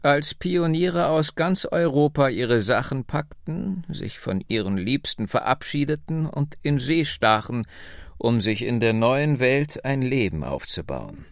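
Man voicing a slow, lilting very old woman. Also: medium pitch, animated, creaky, dry recording, clean studio sound. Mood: reminiscing, deliberate. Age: 90s. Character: very old American-born woman, proud, wistful storyteller.

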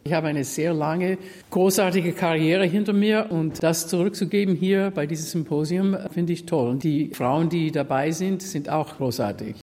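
A woman's voice: sits low, moderate pace, mellow. A woman opera singer and teacher giving interview answers, speaking emphatically and savoring her words. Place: historic school hall. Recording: long hall reverb.

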